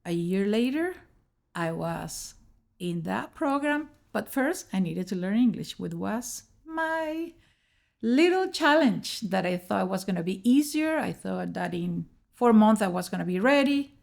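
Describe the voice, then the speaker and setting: A middle-aged Venezuelan woman speaks as an interview guest with a creaky edge to her voice. She has a Spanish accent and tells her story earnestly, in halting learned English. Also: medium pitch, animated, darkish tone. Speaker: podcast guest, educator.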